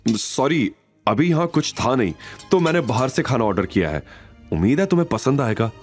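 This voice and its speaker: A narrator plays a caring young man in an audio drama, earnest and gently insistent. His mid-pitched voice moves expressively, protective and smitten, over soft background music. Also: sing-song, normal speed, lightly acted. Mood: tender, attentive.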